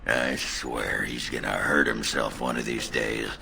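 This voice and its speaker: Gravelly, very deep dwarf. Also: male voice, fairly even intonation, unhurried, pushed and bright, slight background noise, heavily acted. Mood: gleeful mockery. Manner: coarse, teasing, blunt. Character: crude, boozy, gruff middle-aged male dwarf warrior. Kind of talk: fantasy video game voice acting.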